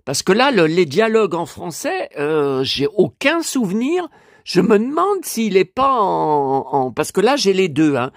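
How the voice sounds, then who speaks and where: A man's voice: high voice, big pitch swings, unhurried, no room sound, clean studio sound. An older French man rambling to his viewers, complaining and restarting his words often. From France. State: in pain after an injury. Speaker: collector vlogger. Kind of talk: casual monologue to camera.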